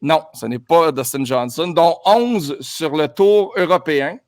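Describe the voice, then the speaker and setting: Upbeat Québécois male host, higher register, game-show energy. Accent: Québécois French. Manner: upbeat, quick, playful announcer tone. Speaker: podcast host running a golf quiz. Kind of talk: casual chat and quiz hosting.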